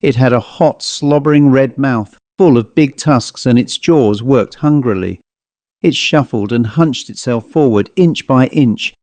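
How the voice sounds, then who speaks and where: A man's voice: medium pitch, warm tone, no room sound. Man narrating a ghost story, dry close recording. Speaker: audiobook narrator.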